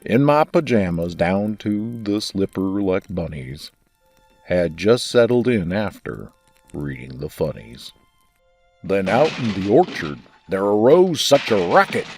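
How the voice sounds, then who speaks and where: A man voicing a storyteller who recites a rhyming holiday poem in a slow, measured rhythm. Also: low voice, big pitch swings, darkish tone, slightly rough, no room sound, soft background music, exaggerated voice. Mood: storytelling. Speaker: voice actor. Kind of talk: fan dub rhyming storybook narration.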